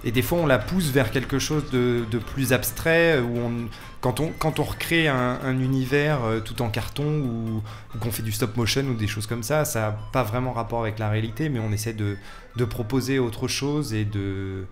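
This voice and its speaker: A man's voice: medium pitch, moderate pace. A man speaking as a guest in a casual radio interview, explaining things and often restarting or repeating words. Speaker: film set decorator, radio guest. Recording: music playing behind the voice. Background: music.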